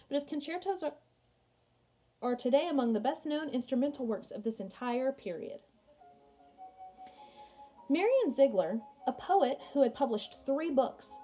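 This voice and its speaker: Higher-pitched young woman reading to pupils. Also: dark tone. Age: young adult. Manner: explanatory, chatty, addressing pupils directly. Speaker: music teacher. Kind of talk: children's read-aloud lesson with casual asides. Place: small indoor room at home.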